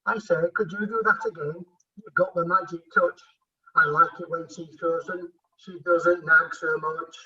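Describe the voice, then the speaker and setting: A man playing a blustering, henpecked emperor in a rhyming pantomime, with a husky, forceful voice. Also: on the high side, fairly even intonation, normal speed, distant mic, muffled recording, full character voice. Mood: sly. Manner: blustering, imperious. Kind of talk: rhyming amateur pantomime acting.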